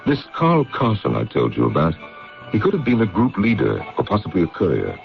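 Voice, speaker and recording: A man acting in a vintage radio drama as a steady, practical confidant and investigator who reasons matter-of-factly. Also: deep voice, brisk, vocal fry, faint music behind, exaggerated voice. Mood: curious, thoughtful. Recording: muffled old radio broadcast.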